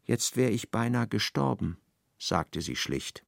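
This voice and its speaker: Male drama narrator, animated intonation, creaky texture, intimate dry studio sound. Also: fairly low pitch, measured pace, no room sound. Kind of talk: literary radio play narration.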